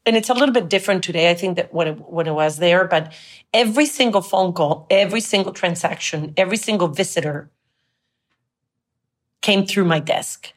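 A woman's voice: lowish voice, fairly quick. A middle-aged woman from Colombia whose first language is Spanish, a guest telling her career story in an earnest, reflective way. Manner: reflective, earnest, recounts with quoted dialogue. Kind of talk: conversational interview storytelling.

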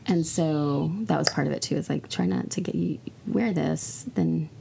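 A hoarse woman co-hosting a podcast, chatting casually and swearing now and then. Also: low-pitched, fairly even intonation, moderate pace, mellow, a little hiss or hum, rough recording. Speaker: podcast co-host. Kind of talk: casual chat. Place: recording in Texas.

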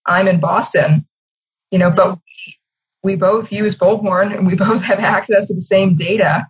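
A woman's voice: medium pitch, steady tone, moderate pace, slightly rough, muffled recording. A woman giving articulate, emphatic interview answers in polished corporate phrasing over a video call. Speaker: communications executive, interview guest.

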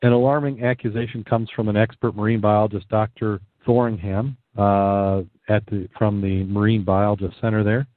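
A man's voice: fairly low pitch, flat delivery, normal speed, hardly any pauses, no echo. A man co-hosting a casual talk show, easygoing and a little hesitant as he reads news items aloud. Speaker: co-host reading news items. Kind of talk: casual discussion and reading articles aloud. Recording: dry, tinny phone-like sound.

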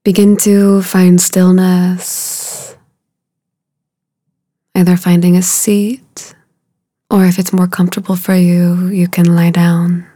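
A woman leading a guided meditation in a soothing, unhurried way, with a soft, breathy voice and long pauses between short phrases. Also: on the low side, little pitch movement, no echo, clean studio sound.

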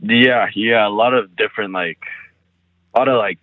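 A man's voice: fairly low pitch, moderate pace, dry recording. A young man from the United States being interviewed over the phone, laid-back and frank, with lots of "like"s. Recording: tinny phone line.

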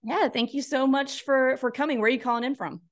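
A woman's voice: sits high, fairly even intonation, a little fast. Casual podcast host, woman, breezy and upbeat.

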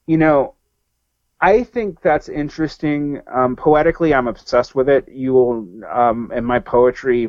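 A man's voice: medium pitch, a little mellow, no room sound. Male guest, rambling and restarting, dry muffled line. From American South. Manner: rambling, many fillers and restarts. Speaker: podcast guest. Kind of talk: casual discussion.

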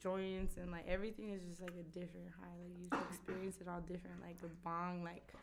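A young woman from with a raspy voice, chatting bluntly as a podcast guest and swearing freely. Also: on the low side, flat delivery, fast talker, heavy background noise. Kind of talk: casual chat.

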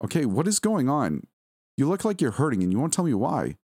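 A man's voice: medium pitch, big pitch swings, fairly quick, dark tone, husky, pitched above the performer's own voice. A man voicing a worried, frantic wife pleading with her ailing husband.

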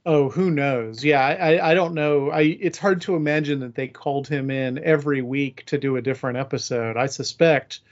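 A man's voice: medium pitch, steady tone, moderate pace, hardly any pauses, highs cut off. A man hosting a casual discussion podcast, conversational and wry as he moderates. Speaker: podcast host.

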